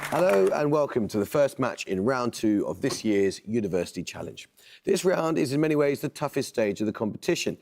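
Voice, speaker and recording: A man hosting a quiz show in a TV studio, reading questions in a measured, precise way. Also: medium pitch, sing-song, gravelly, darkish tone, a faint crowd.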